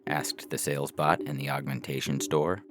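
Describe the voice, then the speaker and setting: A man's voice: a bass voice, fairly even intonation, moderate pace, music in the background. A man reading science fiction stories aloud as scripted narration for a podcast.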